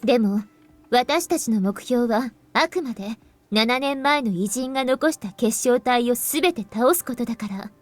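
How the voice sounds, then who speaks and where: A woman's voice: on the high side, quick pace, faint music behind, lightly acted. An actress voicing a businesslike young female team organizer in a visual novel, explaining matter-of-factly. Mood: brisk, explaining. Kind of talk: Japanese visual novel voice acting.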